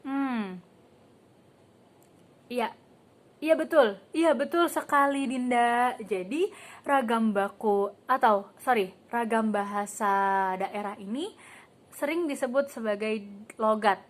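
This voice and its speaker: A woman's voice: fairly high pitch, slow, long pauses. A young woman student teacher giving a casual classroom lesson, quizzing the class and calling on students by name, with casual filler words. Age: young adult. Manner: asks the class questions and calls on students by name.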